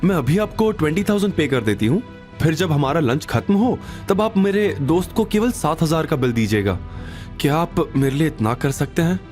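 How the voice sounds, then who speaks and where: Man voicing a higher-pitched, polite young woman. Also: lively intonation, normal speed, a little mellow, soft background music, close to an everyday voice. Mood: polite, discreet. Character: frightened but firm young woman, polite and secretive. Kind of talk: audio drama character dialogue.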